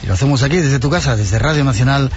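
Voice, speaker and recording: Brisk late-night radio host. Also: male voice, medium pitch. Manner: brisk, welcoming broadcaster delivery. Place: radio studio. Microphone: studio microphone. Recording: slightly dull broadcast audio. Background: music bed.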